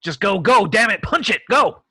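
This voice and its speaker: A man's voice: high voice, lively intonation, moderate pace, bright, forceful tone, dry recording, studio quality, heavily acted. A man acting a cocky, flustered starship captain in a sci-fi script read, blustering and bossy. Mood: urgent. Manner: hesitant stammering bluster, throat-clearing, barked orders. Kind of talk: screenplay table read acting.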